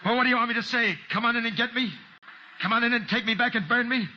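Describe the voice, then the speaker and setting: A man's voice: very high voice, fast talker. An American actor in an old-time radio drama playing a bitter, desperate, hard-boiled fugitive man in his thirties who was wrongly convicted. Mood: agitated. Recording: muffled, noisy old radio recording.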